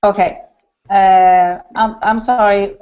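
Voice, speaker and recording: A woman from Sweden speaks slowly and haltingly over a video call, pausing with many fillers. The sound is dull and lo-fi. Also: mid-range voice, steady tone. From Stockholm, Sweden. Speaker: hangout host. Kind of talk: casual video call talk.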